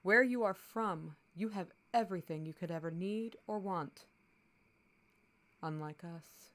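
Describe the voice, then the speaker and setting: A woman acting a stern, slow-spoken swordswoman captain. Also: fairly low pitch, a bit bright. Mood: dry, sceptical. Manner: commanding, blunt, measured. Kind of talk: scripted fantasy audio roleplay.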